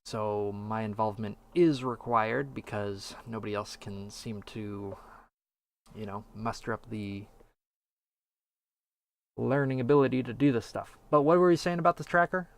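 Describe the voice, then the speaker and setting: Gravelly-voiced man hosting a podcast. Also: lowish voice, steady tone, moderate pace. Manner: wry, meandering, lots of fillers. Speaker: podcast host. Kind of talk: casual podcast chat.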